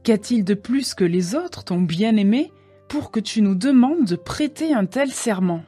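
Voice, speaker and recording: A woman's voice: mid-range voice, lively intonation, measured pace, warm tone, breathy edge, soft background music. A woman playing a curious, questioning young woman of Jerusalem in a dramatized scripture reading. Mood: curious, challenging.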